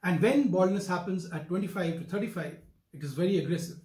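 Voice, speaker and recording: Older man, Indian accent, high voice, doctor explaining, formal and emphatic, roomy echoing office sound. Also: quick pace, darkish tone. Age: older, around 60s. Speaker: doctor, hair transplant surgeon. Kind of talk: explanatory medical talk to camera. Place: wood-furnished office, indoors.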